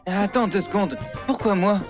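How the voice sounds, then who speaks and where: An actor playing a reluctant, kind high-school boy in an anime fan dub, hesitant and exasperated. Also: moderate pace, natural voice. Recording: loud music behind the voice.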